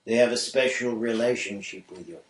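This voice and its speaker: A man's voice: mid-range voice, brisk, recorded from a distance, close to an everyday voice. A man voicing an earnest foreign president. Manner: earnest, formal. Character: earnest head of a newly independent state. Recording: roomy room echo.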